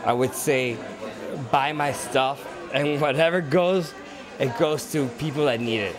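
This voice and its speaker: A man's voice: mid-range voice, a little slow. Blunt, earnest man from Cuba. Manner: blunt, short, earnest answers. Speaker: painter and photographer. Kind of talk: interview answers. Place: outdoors at a busy art event. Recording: crowd chatter and music behind.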